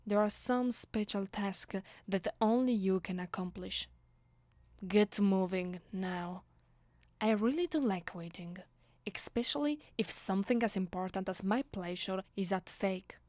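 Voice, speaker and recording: Casting: woman as seductive aristocratic female vampire, Italian accent, unhurried commanding delivery, close dry ASMR sound. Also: medium pitch, darkish tone, dry recording, close to an everyday voice. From Italy. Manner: imperious, playful, unhurried, breathing into whispers. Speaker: voice actress. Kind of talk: ASMR roleplay.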